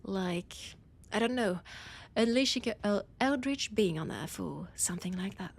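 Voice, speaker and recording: A woman playing a condescending, teasing vampire scholar in an audio roleplay, with a French accent and a breathy, husky voice that often drops to a whisper. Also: mid-range voice, expressive, moderate pace. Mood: lofty, explaining. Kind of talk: scripted audio roleplay addressed to the listener.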